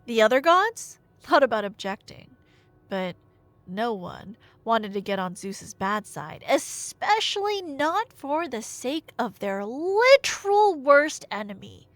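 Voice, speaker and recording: A woman telling a myth as a dramatic yet casual story, expressive and conversational with wry asides. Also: a little high, takes it slowly, dry recording. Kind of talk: dramatic casual retelling of myth, roleplay audio.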